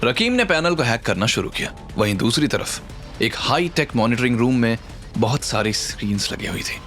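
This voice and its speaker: A man's voice: medium pitch, sing-song, moderate pace, fairly bright tone. A man narrating a scripted story in a dramatic audio series style. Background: background music.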